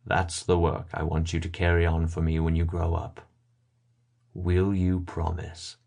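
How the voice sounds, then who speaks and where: A man playing a grieving, gentle old gentleman in a solemn, earnest dramatic audiobook reading. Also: deep voice, monotone, unhurried, vocal fry.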